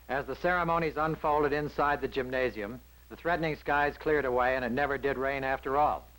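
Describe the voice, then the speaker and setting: A man's voice: medium pitch, fairly quick, fairly bright tone, rough recording. An American man reading scripted newsreel narration from around 1960 in a polished, wry manner.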